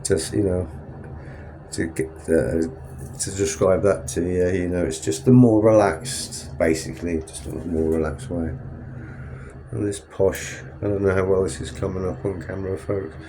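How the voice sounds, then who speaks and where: A man's voice: low-pitched, steady tone, normal speed, warm tone, a little hiss or hum. A man with a stuffed-up, sniffly nose, chatting in a rambling, self-correcting way while filming a travel vlog from a Ferris wheel cabin at night. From England. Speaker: travel vlogger. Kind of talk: casual vlog narration.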